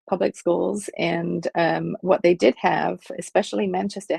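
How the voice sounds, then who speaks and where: Woman in her fifties, heavy vocal fry, breathy rasp, dry close sound, thoughtful with many pauses. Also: fairly low pitch, darkish tone, no echo. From England, Liverpool. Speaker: film studies professor, guest. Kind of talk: conversational interview answers.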